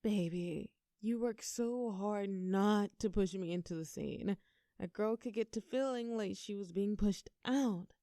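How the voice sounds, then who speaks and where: A young woman plays a bubbly, doting girlfriend in an audio roleplay. Her voice is warm and mellow at a middle pitch, recorded dry and close. She teases and coaxes her partner tenderly, as if chatting privately. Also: unhurried, no echo, lightly acted. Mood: affectionate, playful. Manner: playful, coaxing, talking tenderly to a partner. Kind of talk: intimate audio roleplay, scripted to sound conversational.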